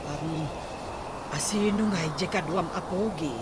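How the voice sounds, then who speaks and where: A woman's voice: lowish voice, measured pace, close to an everyday voice. A woman acting a gracious, coy restaurant owner in an Ilocano radio comedy drama, with an Ilocano accent. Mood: coy, welcoming. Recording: very noisy ambience, roosters crowing.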